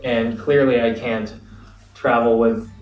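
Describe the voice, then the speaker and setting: A young American man telling his story as an interview guest, relaxed and reflective, with frequent fillers. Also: medium pitch, slow pace, muffled recording. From United States. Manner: relaxed, reflective, many fillers like "you know". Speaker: guest, entrepreneur. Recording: roomy, distant mic, faint ambience.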